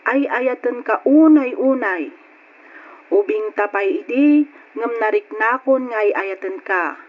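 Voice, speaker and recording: A fairly high, very unhurried voice plays a girlish younger sister. The delivery is old-style radio melodrama, on a dull-sounding recording. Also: expressive. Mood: tender, sorrowful. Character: younger sisterly girl reading a love letter aloud. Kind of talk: old-style Ilocano radio drama acting.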